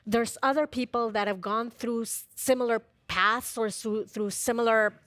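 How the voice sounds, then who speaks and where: A woman's voice: a little high, steady tone, moderate pace, fairly bright tone, no room sound, studio quality. A woman speaking as a panel guest in an earnest, emphatic and encouraging conversational way. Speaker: panel guest, community organization leader. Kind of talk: conversational promotional panel talk.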